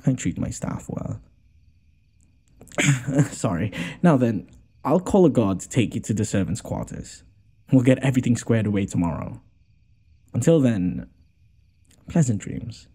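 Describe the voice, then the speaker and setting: A man playing a gentle, smitten noble lord in an intimate audio roleplay, hesitant and apologetic, stammering and sighing as he talks. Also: medium pitch, animated, unhurried, long pauses, husky. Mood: pleased, gentle.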